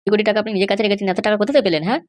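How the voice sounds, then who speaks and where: Woman playing a rapid-fire, stubborn cartoon cop. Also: mid-range voice, a little mellow, natural voice. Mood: stern. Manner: insistent, dismissive, lecturing. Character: stubborn, bribe-seeking police officer guarding a prisoner. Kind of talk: Bengali comedy cartoon dialogue.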